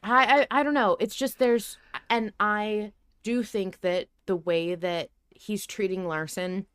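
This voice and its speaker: Woman, podcast host, smooth clear voice, dry sound, conversational, emphatic, interrupts herself. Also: mid-range voice, fairly even intonation, slow, no echo. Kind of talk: casual podcast discussion.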